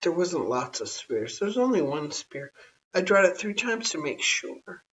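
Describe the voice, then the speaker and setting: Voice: mid-range voice, very animated, unhurried, a bit bright, distant mic, dull audio, voice pushed up. An adult storyteller voicing a small, outspoken girl, innocent and indignant, in storybook dialogue. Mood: earnest, defensive.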